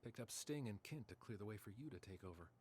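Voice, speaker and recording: A man's voice: fairly low pitch, animated, fast talker, darkish tone, close to an everyday voice. A man playing a corrupt, self-assured senior police officer in an audio drama. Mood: controlled, assured.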